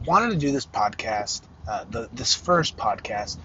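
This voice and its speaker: Man in his thirties, mid-pitched voice, rambling podcast chat, slightly muffled sound, faint vehicle noise behind. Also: lively intonation, unhurried, pushed and bright. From Arizona, United States. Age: 30s. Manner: rambling, many fillers and restarts, self-deprecating. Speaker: podcast host. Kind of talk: casual solo podcast monologue.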